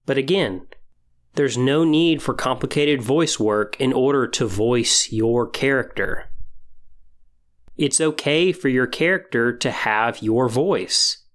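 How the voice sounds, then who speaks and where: A man hosting a podcast monologue, explaining and giving tips in a measured way with emphatic phrasing. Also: mid-range voice. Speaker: podcast host.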